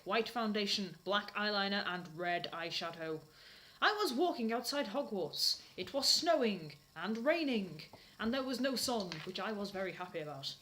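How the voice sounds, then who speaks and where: Woman putting on a bright, melodramatic goth teenage girl. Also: medium pitch, close to an everyday voice. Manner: declamatory, reads misspellings and punctuation literally. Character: melodramatic, defiant seventeen-year-old goth vampire girl narrator. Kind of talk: dramatic reading of bad fan fiction.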